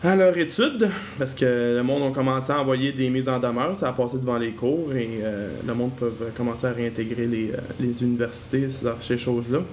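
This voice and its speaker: A man's voice: mid-range voice, fairly quick, hardly any pauses, a little mellow, slight background noise. A man with a Québécois French accent co-hosting a casual podcast, rambling and laughing between lines. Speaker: podcast co-host.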